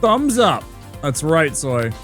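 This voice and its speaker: A man's voice: a little high, slow pace, faint music behind. A man streaming a video game live, chatting casually with his viewers and musing aloud as he plays. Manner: relaxed, chatty, muses aloud to chat. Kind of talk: casual live-stream chat while playing.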